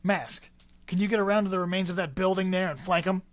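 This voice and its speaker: An actor playing an eager, gallant 1940s flying ace in a pulp radio drama, full of chipper period slang. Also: male voice, high voice, fairly even intonation, quick pace, natural voice. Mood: tense.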